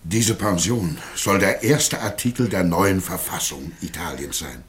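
An actor playing an earnest, commanding Germanic war leader in a radio play, with solemn, declamatory delivery. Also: male voice, low-pitched, unhurried.

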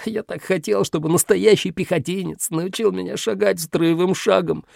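A man's voice: high voice, fairly even intonation, normal speed, vocal fry, darkish tone, no room sound, studio quality, voice pushed up, exaggerated voice. A man voicing a sly, whiny little minus sign, a wheedling troublemaker in a children's story. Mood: sheepish. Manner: wheedling, pleading, evasive.

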